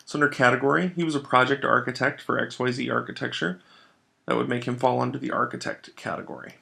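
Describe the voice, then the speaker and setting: A man with a noticeably creaky, fry-edged voice at an average pitch walks through software as a trainer. His delivery is instructional, in the step-by-step style of a screencast tutorial, explaining the reasons as he goes. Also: flat delivery, fast.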